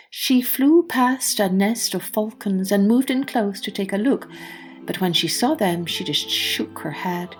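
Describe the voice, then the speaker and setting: Female children's storyteller, unhurried, expressive scripted narration, with music. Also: mid-range voice. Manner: expressive, deliberate phrasing. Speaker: storyteller and podcast host.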